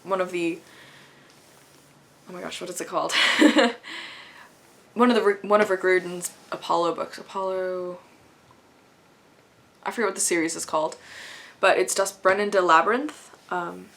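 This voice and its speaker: A woman's voice: lowish voice, fairly even intonation, moderate pace, gravelly, long pauses, fairly bright tone. A young woman chatting casually to camera, rambling with frequent laughs. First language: English. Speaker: vlogger.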